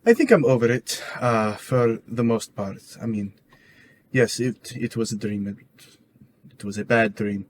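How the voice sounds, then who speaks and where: Halting man at a game table, noisy room. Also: fairly low pitch, animated. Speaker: tabletop role-playing game player. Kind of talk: casual in-character roleplay and table talk.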